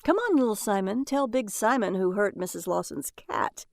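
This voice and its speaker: An actress playing a sharp-tongued, easily distraught housewife and mother in an audio drama. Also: medium pitch, big pitch swings, moderate pace, creaky, dry recording, studio quality. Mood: distressed, accusing.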